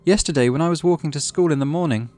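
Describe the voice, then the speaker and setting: Nervous schoolboy for visual novel man acting, raised lively pitch, hesitant delivery, music behind. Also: fast talker, natural voice.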